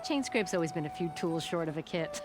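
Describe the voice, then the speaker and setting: Brash, wry smith, lowish voice. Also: female voice, expressive, a little fast, over music. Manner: wry, folksy, sardonic. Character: brash, wry woman smith, beer-loving old friend. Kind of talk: video game cutscene acting.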